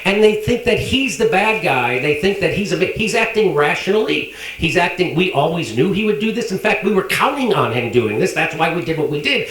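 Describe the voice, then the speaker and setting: A man's voice: high voice, fast talker. Sarcastic man in a distant echoey clip. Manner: mocking, sarcastic, lively. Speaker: political commentator. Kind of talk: monologue commentary clip. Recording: roomy, distant clip playback.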